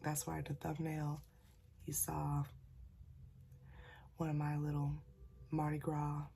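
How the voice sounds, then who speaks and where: A woman sharing a casual spiritual message, talking softly because she is a little sick, in a husky, near-whispered voice and a folksy manner. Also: sits low, monotone, takes it slowly, slight background noise. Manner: folksy, calls listeners y'all and child. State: slightly sick, keeping voice soft. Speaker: spiritual channeler.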